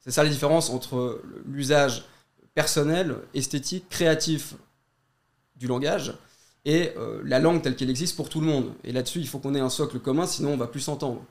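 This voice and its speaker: A man argues his case in a debate, measured but firm, and repeats words as he restarts his sentences. His voice sits at a middle pitch for a man. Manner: argumentative, measured, stammers on restarts. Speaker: YouTuber guest.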